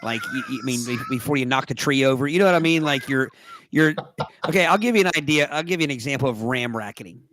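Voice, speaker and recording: A man's voice: medium pitch, quick pace, a bit bright. A man with a lingering cough, co-hosting a podcast in casual chat and rambling through childhood stories. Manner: chatty storyteller, rambling restarts. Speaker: podcast co-host.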